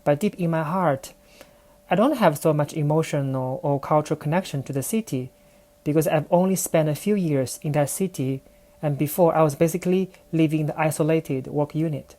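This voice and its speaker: A woman with a Chinese accent tells her own story in English. Her voice sits low and mellow, and her delivery is careful and reflective, like a podcast host speaking alone to her listeners. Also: normal speed. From China. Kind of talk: personal storytelling monologue.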